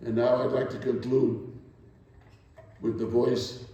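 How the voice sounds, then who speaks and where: Echoey, slow-speaking older man reciting dramatically. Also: mid-range voice, level tone, dark tone, distant mic, dull audio. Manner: deliberate, dramatic, declamatory phrasing. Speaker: actor performing a dramatic reading. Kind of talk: theatrical poetry recital and spoken presentation. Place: echoing indoor hall, live performance. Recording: very echoey hall, from afar.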